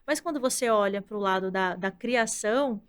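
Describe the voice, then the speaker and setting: A woman's voice: medium pitch, moderate pace, clear, resonant tone. A Brazilian woman in her thirties, a content director, explaining her views in a thoughtful, conversational way. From Brazil, from the countryside. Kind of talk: casual interview conversation.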